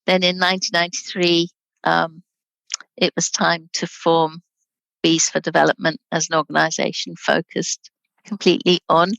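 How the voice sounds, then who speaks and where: Here is a woman in her sixties from Scotland, talking easily over a video call with a gravelly, creaky edge to her voice. She sounds chatty and relaxed. The sound is dry and close, with no room around it. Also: lowish voice, normal speed, dry recording, poor audio. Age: 60s. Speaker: guest, charity director. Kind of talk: casual interview chat.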